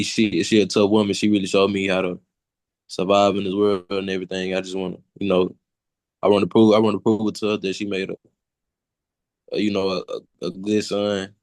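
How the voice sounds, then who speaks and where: Low, monotone young man on a call. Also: no room sound. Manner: repetitive, restarting phrases. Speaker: football player. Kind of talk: casual video call chat. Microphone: video call.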